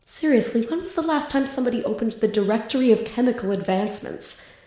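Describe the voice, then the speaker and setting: A woman's voice: a little high, animated, quick pace, warm tone, natural voice. Melodramatic young student, played by a woman, roomy echo. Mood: exasperated. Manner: grandiose theatrical diction. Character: flustered lovestruck student, melodramatic and bookish. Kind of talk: student audio drama acting with soliloquies.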